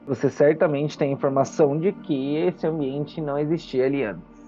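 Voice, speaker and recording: A mellow, warm-toned game master narrates a tabletop fantasy game on the fly. The phrasing is explanatory and halting. The sound is a little muffled, with faint music behind. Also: medium pitch.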